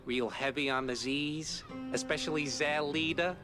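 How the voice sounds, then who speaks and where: A man's voice: fairly high pitch, steady tone, a little slow, close to an everyday voice. A man voicing a fawning, shifty young rodent informant in a 1930s-style cartoon game, stammering and wheedling. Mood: eager, shifty. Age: young adult. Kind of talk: 1930s cartoon gangster-film acting. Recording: music behind the voice.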